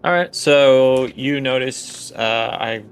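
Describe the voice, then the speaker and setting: A man's voice: mid-range voice, slow, clear, resonant tone. A man running a tabletop game, improvising narration and rulings in a hesitant, think-aloud way. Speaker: game master.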